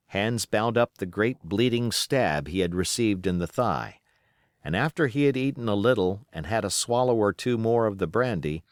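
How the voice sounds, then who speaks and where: A man's voice: lowish voice, dry recording, studio quality. A man reading literary narration as an audiobook reader.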